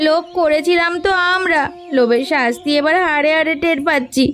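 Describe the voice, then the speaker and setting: Woman playing a very high-pitched village wife. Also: brisk, a bit bright, soft background music. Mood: resigned, plaintive. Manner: dramatic, complaining. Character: plaintive, sharp-tongued village wife. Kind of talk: Bengali cartoon voice acting.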